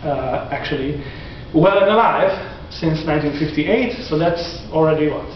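A man in his forties giving a classroom lecture, animated and gesturing, often restarting his sentences. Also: on the high side, moderate pace, slight background noise. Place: classroom in front of whiteboard. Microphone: far camera. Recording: echoey classroom from far off, dull lo-fi.

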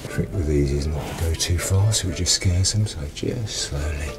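Deep-voiced rescuer, unhurried, soft-spoken with animals; loud music bed. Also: male voice. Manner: calm, coaxing, talks softly to the animal. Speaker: wildlife rescuer. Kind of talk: on-the-job commentary. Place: indoor stairwell of a restaurant.

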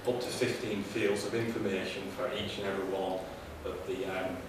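Low, flat-voiced man in echoey hall. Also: recorded from a distance. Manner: halting, many ums and restarts. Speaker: panelist, professor. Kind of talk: conference panel remarks. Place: conference room, seated at panel table. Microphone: handheld mic. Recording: echoey room heard from afar, noisy.

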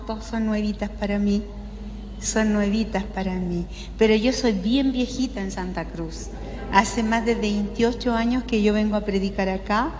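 A woman's voice: medium pitch, a little mellow. An older woman with a Chilean accent preaches as a religious sister to a live audience, conversationally, often checking in with tag questions. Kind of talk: informal spoken sermon to a live audience. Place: large indoor parish hall. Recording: echoey hall with clear background noise.

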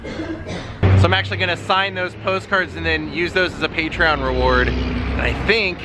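A man's voice: on the high side, normal speed. A man in his thirties, a travel vlogger talking casually to a handheld camera outdoors, telling a story while his voice starts to go. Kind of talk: casual vlog narration. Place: outdoors on a sunny street. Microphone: handheld camera at arm's length. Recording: outdoor traffic noise behind.

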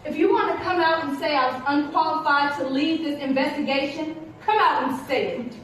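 Casting: woman playing young female investigator, stern and confrontational, high voice, distant in a roomy theatre. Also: brisk, a bit bright. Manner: confrontational, emphatic. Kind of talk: live stage play acting. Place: theatre stage with rows of seats. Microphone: distant camera. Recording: echoey theatre stage from far off, noisy.

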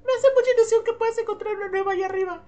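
Devoted young cartoon best friend, female male performer, earnest delivery, very high pitch. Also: steady tone, rapid-fire, heavy background noise. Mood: wistful, encouraging.

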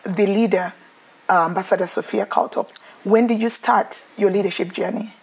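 Woman, 30s, husky mid voice, eager effusive TV host, lapel mic. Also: medium pitch, steady tone, normal speed. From Zimbabwe. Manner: enthusiastic, effusive. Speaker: talk show host. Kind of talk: TV talk show hosting. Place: indoor TV studio set, seated in armchair.

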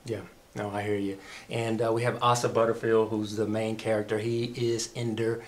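A man's voice: fairly low pitch, flat delivery, normal speed. A man in his thirties with an American accent, reviewing films conversationally as a co-host in a small room.

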